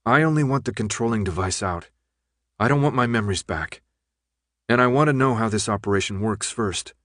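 A wary young man with plenty of resolve, read by a male narrator. He speaks earnestly and firmly, as a novel's hero, in a lowish voice with a dry sound. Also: lively intonation, a little fast, fairly bright tone, no echo. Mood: determined.

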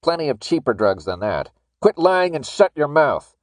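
A man's voice: lowish voice, animated, moderate pace, darkish tone, no room sound, lightly acted. A man voicing a callous, sneering masked guard in an audiobook, barking threats and gloating. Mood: angry. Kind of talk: audiobook character acting.

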